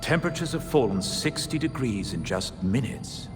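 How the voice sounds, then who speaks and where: An actor playing a level-headed, serious crimefighter in a video game cutscene, sober and businesslike. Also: male voice, mid-range voice, lively intonation, moderate pace, natural voice. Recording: long reverb, loud music behind.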